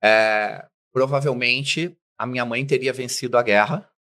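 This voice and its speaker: Animated man telling stories. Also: medium pitch, measured pace. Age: middle-aged. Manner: animated storyteller, acts out quoted dialogue. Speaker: business guest. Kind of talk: interview storytelling.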